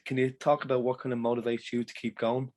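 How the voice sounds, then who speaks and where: Brief: Irish male podcast host, mid-pitched, encouraging and chatty with guests. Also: flat delivery, fast talker, darkish tone. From Ireland. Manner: upbeat, encouraging, rambling questions. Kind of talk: casual interview.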